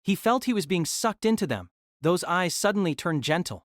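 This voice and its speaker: A woman with a low voice narrates a novel in steady storytelling, dry and close to the mic. She also reads the male lead's lines. Her delivery is measured and even. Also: dry recording.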